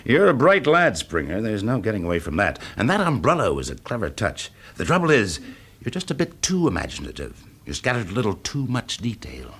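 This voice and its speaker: Shrewd, low-voiced English fire investigator. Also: male voice, wildly swooping pitch, fairly quick, fairly bright tone, breathy edge, heavily acted. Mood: coolly confident. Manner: polite, probing, confidently in charge. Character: shrewd, genial, commanding male fire investigator. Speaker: actor playing the lead. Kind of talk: classic radio drama acting.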